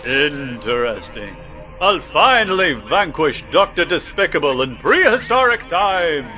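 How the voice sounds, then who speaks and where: A man plays a self-important guardian of time in a comic radio play. He speaks slowly with big melodramatic swings of pitch, over prominent music, on dull audio. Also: big pitch swings. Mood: indignant. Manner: pompous, deliberate, melodramatic. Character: self-important male time guardian, bumbling would-be avenger. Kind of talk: comedic audio drama acting.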